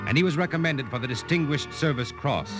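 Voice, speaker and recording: A man's voice: fairly high pitch, brisk, music in the background, close to an everyday voice. A man announcing in the scripted style of a 1950s radio drama broadcast. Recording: old radio broadcast recording.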